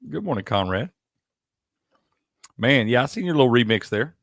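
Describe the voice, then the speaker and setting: A relaxed male co-host with a mid-range voice and a dry, close sound. He talks in an easy drawl, tacking "man" onto the end of his lines. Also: medium pitch, moderate pace, no room sound. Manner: laid-back, drawling, says "man" a lot. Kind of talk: casual live-stream chat.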